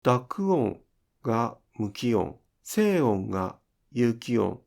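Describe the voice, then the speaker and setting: A man teaching pronunciation in an instructional style, enunciating deliberately and carefully for language learners. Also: mid-range voice, sing-song, creaky, a little mellow. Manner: deliberate, careful enunciation with demonstrated example words.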